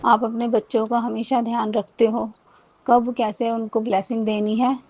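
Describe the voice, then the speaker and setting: A woman's voice: fairly high pitch, level tone, normal speed, mellow, no echo. A woman, telling a personal devotional testimony in a rambling way, with many restarts and repeated thanks.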